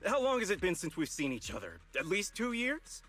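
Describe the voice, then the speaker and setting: An affable young investigator in a video game. His voice sits slightly high, bright and very lively in melody, with an easygoing, composed manner. Faint music runs behind. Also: a little fast, full character voice. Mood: friendly, composed. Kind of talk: English video game voice acting.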